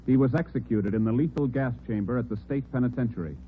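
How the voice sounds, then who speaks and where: A man announcing in a grave, measured, formal radio style. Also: medium pitch. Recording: tinny old radio broadcast over music.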